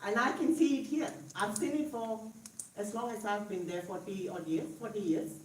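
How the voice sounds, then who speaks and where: A woman's voice: medium pitch, moderate pace, a little hiss or hum. A woman from Malaysia, speaking earnestly and emphatically in a casual group discussion, with short laughs. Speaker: local political volunteer in a group discussion. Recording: roomy, from a distant mic.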